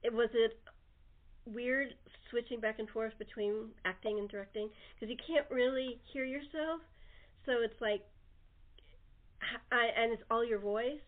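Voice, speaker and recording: A woman's voice: fairly high pitch, fairly even intonation, unhurried. A woman hosting a casual interview, chatting in a rambling, jokey way over a narrow phone connection. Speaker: podcast host and theater producer. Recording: narrow, tinny phone-line sound.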